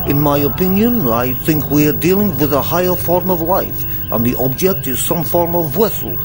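An actor playing an earnest, analytical starship officer in a comedy radio play, with a deliberate manner. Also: male voice, a little high, lively intonation, close to an everyday voice, low-quality recording. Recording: music behind the voice.

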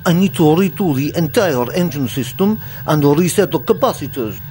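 Man playing a lively, highish fretful ship's engineer. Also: normal speed, faint music behind, close to an everyday voice. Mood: earnest. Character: put-upon, fretful male spaceship engineer. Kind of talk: comedy sci-fi radio play acting.

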